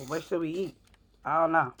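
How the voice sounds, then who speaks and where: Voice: mid-range voice, normal speed, a bit bright. A vlogger from New York chatting casually while eating, playful and fond of repeating words. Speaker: vlog co-host. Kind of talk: casual mukbang chat.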